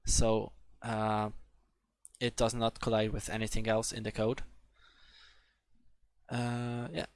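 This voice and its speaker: A man's voice: on the low side, monotone, slow pace, pauses often, smooth, dry recording. A young man with a Polish accent, giving a live coding tutorial in a hesitant, filler-heavy way with frequent restarts. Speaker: coding instructor.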